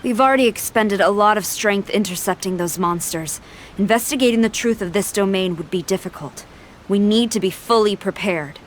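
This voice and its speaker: A woman's voice: mid-range voice, normal speed, a little hiss or hum, natural voice. A woman voicing a stern, dutiful military commander in a video game, formal and authoritative. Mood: grave, resigned.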